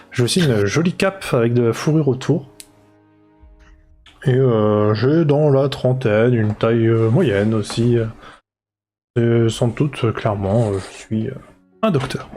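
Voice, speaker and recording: A man's voice: mid-range voice, a little mellow. A man playing a tabletop game, describing his character with frequent hesitation. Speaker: player.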